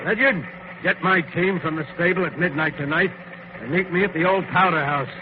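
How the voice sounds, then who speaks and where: Ruthless crime boss, male actor, old radio serial; high voice, barking orders, hissy recording. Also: normal speed, lightly acted. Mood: commanding. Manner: brusque, commanding. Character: commanding ruthless gang boss, scheming mine superintendent. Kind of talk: old-time radio drama acting. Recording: muffled, noisy vintage radio recording.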